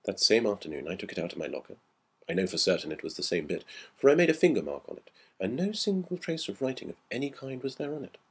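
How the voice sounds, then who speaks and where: Very deep, husky-voiced male storyteller. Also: big pitch swings, fast talker. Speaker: audiobook narrator. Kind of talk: scripted storytelling of a classic ghost story.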